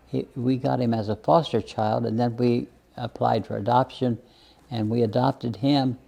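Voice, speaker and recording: An elderly man speaks slowly and reflectively in an interview. His voice is gravelly and soft-edged, with a mellow tone. He halts and repeats words as he tells his plain-spoken personal stories. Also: mid-range voice. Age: over 80. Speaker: interview guest, retired minister.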